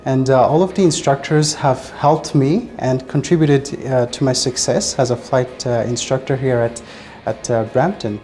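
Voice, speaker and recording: A man's voice: medium pitch, steady tone, moderate pace, warm tone, soft background music. A man from Madagascar, a flight instructor, speaking earnestly with frequent hesitant fillers. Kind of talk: testimonial interview.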